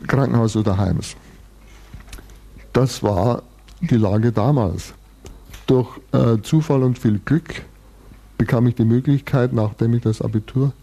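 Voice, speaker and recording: Man in his sixties, interviewee, very dark, husky voice, storytelling with dry wit. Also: medium pitch. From Bavaria, Germany. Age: 60s. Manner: thoughtful, long-winded, restarts and fillers, dry humor. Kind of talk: reflective personal storytelling in a radio interview.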